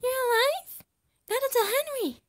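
A woman's voice: very high voice, moderate pace. A woman voicing a small, eager, clingy and naive void creature in an amateur comic dub. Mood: excited, eager.

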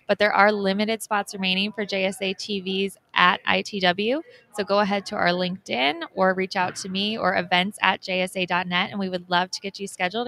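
A young adult woman co-hosts from an expo booth set, speaking into a handheld mic. Her delivery is enthusiastic and full of calls to action. Her voice carries vocal fry and stays in a narrow, even pitch range. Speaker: co-host, events organizer. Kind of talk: event wrap-up chat.

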